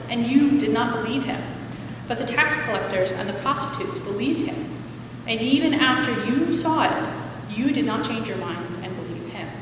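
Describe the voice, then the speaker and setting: Woman reading scripture in a very echoey hall. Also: a little high. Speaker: scripture reader at a church service. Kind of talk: formal scripture reading aloud. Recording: very echoey hall, distant mic, noisy, muffled.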